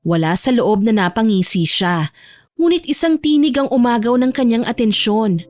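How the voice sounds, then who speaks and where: A woman's voice: a little high, expressive, moderate pace, darkish tone, no echo. A woman narrator reading a scripted romance story in storytelling style. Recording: music bed behind the voice.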